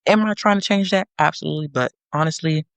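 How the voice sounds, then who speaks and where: A young adult woman hosting a podcast, giving a sassy, animated monologue with teasing asides to her listeners. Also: low voice, fast, gravelly, no room sound. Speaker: podcast host.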